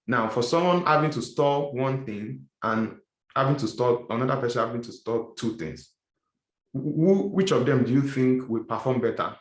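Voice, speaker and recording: A man's voice: medium pitch, normal speed. Man teaching a class in an echoey room. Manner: explanatory, repeats and rephrases points. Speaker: instructor. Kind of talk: classroom lecture.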